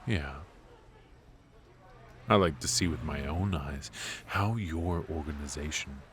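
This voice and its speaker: Very deep, slow, smug mafia boss played by a man. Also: background noise. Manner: slow, smug, teasing, with drawn-out pauses. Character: brilliant, ruthless, teasing male mafia boss, smugly flirtatious. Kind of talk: noir ASMR roleplay acting.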